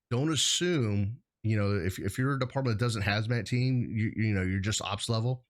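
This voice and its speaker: A man co-hosting a casual shop-talk discussion, speaking in an informal, plain-spoken way. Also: on the low side. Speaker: hazmat consultant and show host. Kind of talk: casual chat, professional topic.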